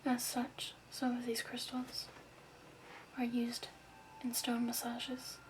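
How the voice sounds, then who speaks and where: A woman doing an ASMR shopkeeper roleplay in a soft, breathy, whispered voice, gently instructing. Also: fairly high pitch, monotone, slow pace, a little hiss or hum, low-quality recording. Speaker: ASMR performer playing a crystal shop keeper.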